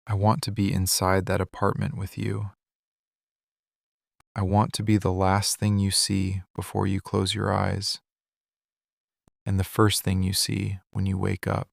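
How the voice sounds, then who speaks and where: Man acting a slow, gravelly, possessive mafia boss. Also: low-pitched, monotone, no echo, clean studio sound, heavily acted. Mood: weary confession. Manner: slow, deliberate, intimate delivery in short phrases. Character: possessive, lovesick mafia boss, weary and intense. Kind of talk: scripted boyfriend ASMR roleplay.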